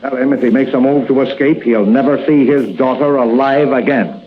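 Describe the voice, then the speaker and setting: An actor in a 1940s radio drama playing a gruff crime boss who gives menacing orders. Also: male voice, mid-range voice, normal speed, close to an everyday voice. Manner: menacing, clipped commands. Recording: tinny old radio broadcast, faint hiss.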